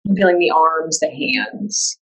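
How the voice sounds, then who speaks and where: A woman guiding a meditation in a calm, soothing, unhurried way, leaving long pauses between instructions. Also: sits low, rough recording. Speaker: yoga nidra meditation guide. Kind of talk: guided meditation.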